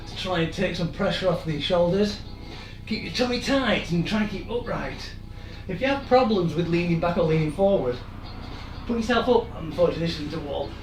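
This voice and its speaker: A man, hoarse and losing his voice, leading a live-streamed workout with chatty, encouraging asides. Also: high-pitched, far from the mic. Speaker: fitness instructor. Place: room in an old house. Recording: echoey room, off-mic, music behind.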